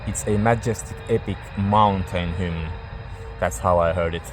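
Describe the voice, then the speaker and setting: Low-voiced man, halting speech, music behind. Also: fairly even intonation. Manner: halting, searching for words. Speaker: music reviewer. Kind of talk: casual album review.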